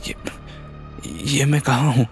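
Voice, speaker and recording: A man's voice: medium pitch, steady tone, slow, soft background music, lightly acted. A man voicing a frightened but firm young woman in an audio drama. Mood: confused.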